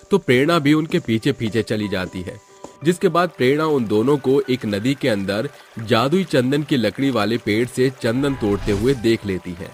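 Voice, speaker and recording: A man narrating a scripted moral story as storyteller. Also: mid-range voice.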